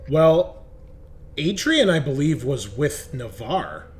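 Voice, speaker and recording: A man's voice: a little high, sing-song, slow, slight background noise. A man narrating a tabletop roleplaying game as game master, improvising in a casual, conversational way. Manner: casual, conversational, occasional swearing.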